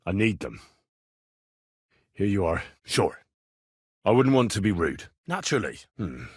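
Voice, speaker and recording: Young male medieval adventurer: husky, dry close sound, earnest and blunt, streetwise, colloquial game dialogue. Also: low-pitched, sing-song, measured pace, no echo, heavily acted. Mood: casual, direct. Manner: colloquial British phrasing, plain-spoken. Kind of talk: video game dialogue voice acting.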